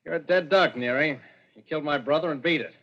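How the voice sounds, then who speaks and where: A man's voice: a little high, fast talker. An actor playing a sneering, menacing man in a 1940s radio thriller, curt and commanding in manner. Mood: gloating, menacing. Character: menacing, sneering brother of a murdered man, holding a gun. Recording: dull, noisy vintage radio recording.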